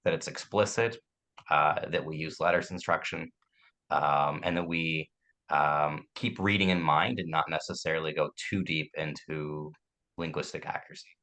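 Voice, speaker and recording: A man hosting a panel discussion on a video call, his voice hoarse from a sore throat as he sums up and invites correction. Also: a bass voice, steady tone, normal speed, sharp tone. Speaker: podcast host.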